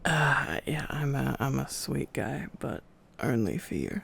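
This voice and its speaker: A drowsy man speaks slowly with a heavy creak in his voice. He is playing an affectionate boyfriend in an intimate ASMR roleplay, rambling softly and often dropping to a half-whisper. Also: mid-range voice, very animated. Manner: sleepy, affectionate, rambling, murmuring. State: tired.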